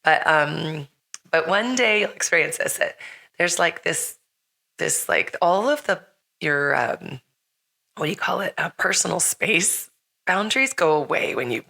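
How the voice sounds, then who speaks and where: A middle-aged woman hosting a chatty podcast, her voice low and gravelly with a lingering rasp from illness. Her tone is bright and forward, and she talks in a loose, rambling way full of fillers and restarts. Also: lively intonation, measured pace. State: slightly raspy from recent illness. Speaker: podcast host. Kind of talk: casual chat.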